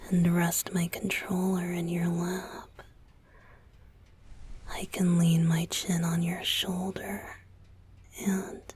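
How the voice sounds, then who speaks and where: A woman playing a playful, affectionate young male idol boyfriend in an intimate ASMR roleplay, soft and often close to a whisper. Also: fairly low pitch, slight background noise. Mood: tender, teasing. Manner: teasing, coaxing, giggly, slow with pauses. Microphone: close studio microphone, dry.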